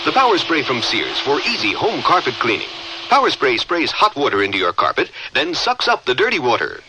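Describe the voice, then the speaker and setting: Very animated male ad announcer, noisy backdrop. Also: medium pitch, fairly quick. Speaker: commercial announcer. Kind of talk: scripted 1970s product radio ad.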